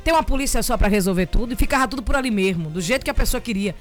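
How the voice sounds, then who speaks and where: A woman with a Bahian accent hosts a morning radio show in a studio, her voice high and bright. She talks in a lively, teasing way and sends shout-outs to listeners. Faint music plays behind her. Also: racing. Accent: Bahian Brazilian Portuguese. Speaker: radio host.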